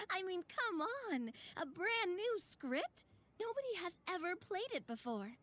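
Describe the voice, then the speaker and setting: A woman's voice: very high-pitched, big pitch swings, unhurried, fairly bright tone, full character voice. A woman voicing a playful, bubbly young woman in an anime video game, theatrical and gushing, with music behind her. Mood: excited.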